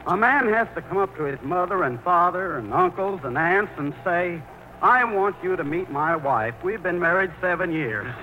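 Timid, dithering middle-aged mama's boy, swooping pitch. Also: lively intonation, normal speed. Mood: nervous, earnest. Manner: hesitant, earnest, stammering nervously. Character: baffled, timid 38-year-old mama's boy. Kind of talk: 1940s radio comedy acting. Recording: muffled, noisy old radio broadcast.

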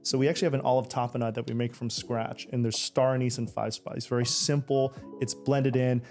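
A man's voice: medium pitch, level tone, a little fast, darkish tone, soft background music. Man chef describing a dish. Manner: earnest and descriptive, talking through ingredients. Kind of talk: presenting a dish.

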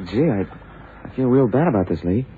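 An actor playing a detective's eager, apologetic sidekick in a 1970s radio drama, hesitant and deferential. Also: male voice, medium pitch, expressive, brisk, natural voice. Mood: sheepish. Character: eager, apologetic male detective's sidekick, American, slow to catch on. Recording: muffled, noisy old radio recording.

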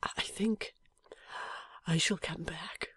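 In an audiobook, a woman reads a gravely wounded young husband in a slow, breathy whisper, his voice weak and halting. He is tender and affectionate, the sound is dry and close, and it sits a little higher than the reader's own voice. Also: a bit bright, dry recording, exaggerated voice. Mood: faint, tender. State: weak from injury. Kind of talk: audiobook character voice.

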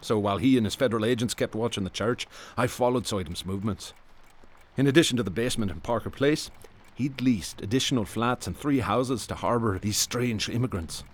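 A man's voice: mid-range voice, brisk, natural voice. An actor playing a wry, dogged male New York police detective in a radio drama, sardonic in his delivery. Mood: reflective. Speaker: detective and narrator. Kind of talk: radio drama dialogue and narration.

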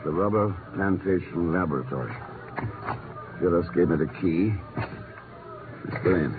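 An actor playing a cool, shrewd secret agent in a 1950s radio drama, speaking in a terse, unruffled way. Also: male voice, deep voice, moderate pace, over music, natural voice. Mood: cool, dry. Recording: noisy, muffled 1950s radio recording.

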